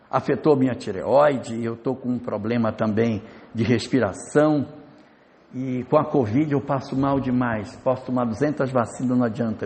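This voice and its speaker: A man acting out a self-pitying woman teacher who complains that she is always hoarse and ill, with his voice ringing in a reverberant hall. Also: mid-range voice, darkish tone, natural voice.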